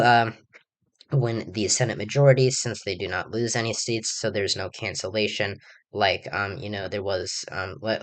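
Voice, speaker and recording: A young man with a somewhat low, hoarse voice talks through political predictions off the cuff. He rambles, leans on fillers and keeps restarting his sentences. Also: fairly bright tone. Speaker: political commentator. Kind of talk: casual election prediction monologue.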